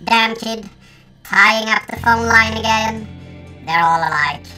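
A scornful grown-up authority figure, put on by a man, grumbles in a raised, sharp voice. The delivery is slow and dismissive, a dramatic reading over faint music. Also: high voice, pitched above the performer's own voice, heavily acted. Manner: sneering, dismissive.

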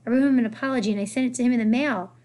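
A woman's voice: on the high side, very fast, darkish tone, close to an everyday voice. A woman voicing an earnest, remorseful schoolboy in a novel, speaking plainly and insistently.

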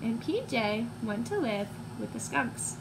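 A woman in her twenties reading a picture book to young children, expressive and teacherly, pausing to put questions to listeners. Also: on the high side. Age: 20s. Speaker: read-aloud storyteller for young children. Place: small room at home, indoors. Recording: clear background noise, home recording.

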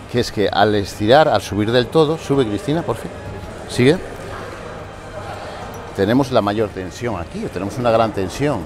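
A man's voice: fairly low pitch, background noise. A man in his forties, a fitness coach, explaining leg exercises step by step in a large indoor gym on a lapel mic, often checking in with his listeners. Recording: music playing clearly behind.

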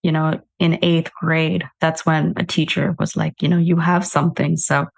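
Woman, podcast host, husky, lowish, gravelly edge, interviewing. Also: little pitch movement, moderate pace. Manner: warm short reactions and follow-up questions. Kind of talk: interviewing a guest.

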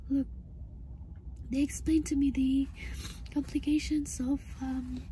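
Hoarse, high-voiced woman speaking slowly. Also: flat delivery, mellow. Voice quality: hoarse, breathy, often breaking into whisper. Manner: halting, hesitant. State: hoarse, recovering voice, upset. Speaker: vlogger. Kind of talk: personal health update vlog, casual talk to camera. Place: inside a parked car. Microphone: phone at arm's length. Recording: faint background noise in car.

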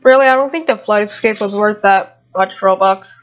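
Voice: medium pitch, normal speed, a bit bright, dull audio. A gamer giving casual, rambling gameplay commentary with muttered asides to viewers, recorded on a home computer microphone. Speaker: gamer narrating own gameplay video.

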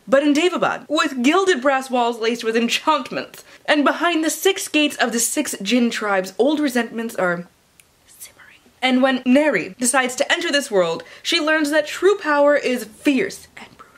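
A woman's voice: high-pitched, lively intonation, normal speed. A young adult woman vlogging about books in a casual, playful way, often interrupting herself and swearing freely. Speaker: book vlogger. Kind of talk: casual vlog chat with dramatic blurb readings. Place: indoor room with bookshelves.

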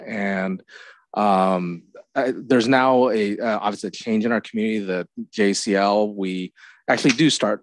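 A man with a fairly low voice sits on a conference stage panel, speaking into a handheld mic. He talks in a reflective, measured way, and the sound is close and dry. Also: dry recording. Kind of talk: panel discussion.

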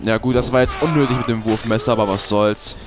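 A man's voice: medium pitch, fairly even intonation, quick pace, over music. Young man with a cold, ranting. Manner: swearing, frustrated ranting. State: has a cold. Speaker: let's play host. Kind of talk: casual gameplay commentary.

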